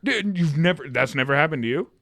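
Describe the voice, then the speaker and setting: A man's voice: animated, fast, vocal fry, pushed and bright, no echo. A man co-hosting a podcast in casual chat, speaking with lots of inflection and laughing often. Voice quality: naturally deep voice. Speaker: podcast co-host.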